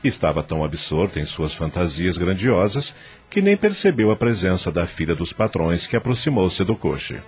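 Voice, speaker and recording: A man narrating a radio drama in an expressive storytelling manner. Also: sits low, normal speed, slightly rough, soft background music.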